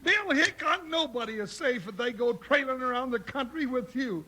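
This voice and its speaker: A man's voice: lively intonation, moderate pace, sharp tone, exaggerated voice. A man playing a comic, reluctant cowboy sidekick with a whining, folksy American delivery in a 1950s radio western. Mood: grumbling. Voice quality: high-pitched, rustic folksy voice. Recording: noisy old radio recording with clicks.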